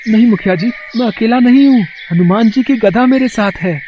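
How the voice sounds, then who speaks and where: A man's voice: extremely high pitch, normal speed, slight background noise. A man voicing an earnest, devout young village lad in a cartoon moral story, speaking respectfully. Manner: pleading, respectful. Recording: dull, lo-fi sound.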